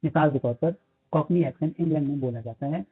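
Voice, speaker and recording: A man with a Pakistani accent, teaching phonetics in a lecture. He mixes English terms into his explanations, uses many fillers and reads English lines slowly. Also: fairly high pitch, slightly rough. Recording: tinny phone-like audio.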